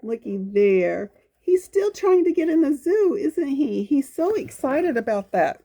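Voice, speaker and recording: A woman with a fairly high voice reads a picture book to small children at a very slow pace. She breaks up the reading with folksy, chatty asides. She often exclaims over the pictures and coaxes the listeners with small questions. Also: very animated, mellow. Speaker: children's storytime reader.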